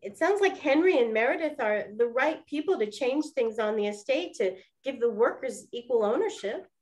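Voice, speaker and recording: A woman playing a cheeky young 1920s detective in a period drama, teasing her sister with animated, wisecracking delivery. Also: on the high side, moderate pace, lightly acted. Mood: earnest, eager. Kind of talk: scripted period radio drama acting.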